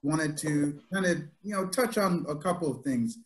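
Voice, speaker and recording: A man with a somewhat high voice interviews his guest, wandering into his questions with plenty of fillers. A faint background noise sits under his video call audio. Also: moderate pace, rough recording. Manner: friendly, rambling questions with fillers. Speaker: interviewer from a youth club. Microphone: video call mic.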